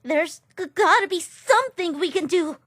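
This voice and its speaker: A woman's voice: very high-pitched, expressive, moderate pace, a bit bright, dry recording, clean studio sound. A woman voicing a nervous, stuttering female lizard scientist in a comic dub. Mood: anxious. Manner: stuttering, anxious. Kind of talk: fan comic dub acting.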